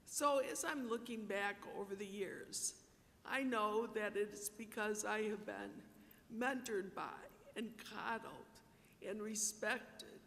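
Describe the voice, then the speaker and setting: A woman giving an earnest, reflective award acceptance speech at a banquet, in what she calls her low voice. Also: fairly bright tone. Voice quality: self-described low voice. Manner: reflective, earnest, with repeated words for emphasis. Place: large banquet hall, in front of a dinner audience. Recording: reverberant hall, distant mic, faint rumble.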